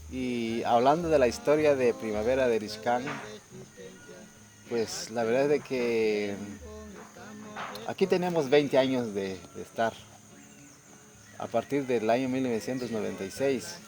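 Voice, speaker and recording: A middle-aged man whose first language is Kaqchikel gives an earnest, steady testimony with pauses. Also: medium pitch, soft background music. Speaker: community resident sharing testimony. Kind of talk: testimony interview.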